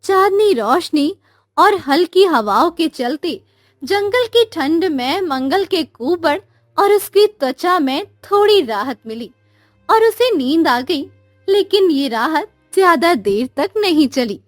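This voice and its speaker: A woman's voice: extremely high pitch, big pitch swings, slow pace, no echo, studio quality, soft background music. A woman narrating a scripted cartoon moral story.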